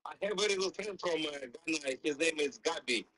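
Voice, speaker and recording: A man's voice: fairly high pitch, fairly quick, bright, forceful tone. A man from Ukraine, calling into a live stream, chatting in a friendly way and mixing in Japanese words. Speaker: caller. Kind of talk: casual chat on a live stream.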